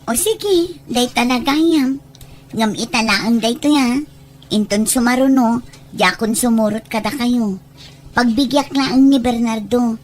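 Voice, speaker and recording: Woman as easygoing young Filipino woman, slightly high voice. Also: measured pace, close to an everyday voice, poor audio. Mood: sociable. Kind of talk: Ilocano audio drama acting. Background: background music.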